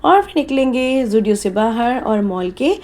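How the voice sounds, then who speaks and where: A woman's voice: a little high, lively intonation, a little slow, a little mellow. A woman narrating a family vlog in a chatty, casual way, slipping English phrases into her Hindi. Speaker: vlogger.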